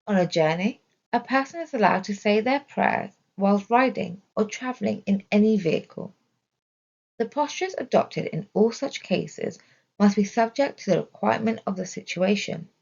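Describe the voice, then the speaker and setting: Female narrator for religious study audio. Deliberate scripted delivery, some vocal fry, slightly muffled sound. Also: mid-range voice, animated, a little mellow. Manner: measured, deliberate reading. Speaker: reader of religious study text.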